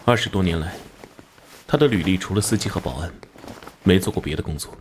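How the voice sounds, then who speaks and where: An actor playing a no-nonsense male police captain in an animated crime drama, speaking in a firm, matter-of-fact manner. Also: low voice, fairly quick, slight background noise. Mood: explaining.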